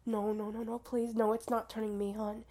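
A woman acting as a guilt-torn young woman who pleads in hushed, repeated whispers. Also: on the high side, little pitch movement, normal speed, close to an everyday voice. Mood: distressed. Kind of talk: amateur audio roleplay.

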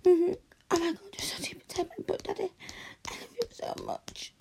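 A young adult woman performing a scripted audio roleplay, tearful and pleading, often sinking into soft whispers. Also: very high voice, fairly quick, creaky.

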